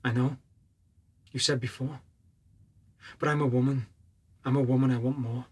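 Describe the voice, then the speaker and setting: A woman playing a yearning woman being wooed in an intimate stage drama, speaking in a hushed, breathy voice. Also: a bass voice, fairly even intonation, normal speed. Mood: quietly yearning.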